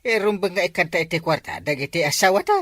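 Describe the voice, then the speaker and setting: A woman voicing a talkative, joking village man with a husky voice in a comedy drama, teasing him and jumping into squeaky outbursts. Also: expressive, fast, a bit bright, dry recording, clean studio sound.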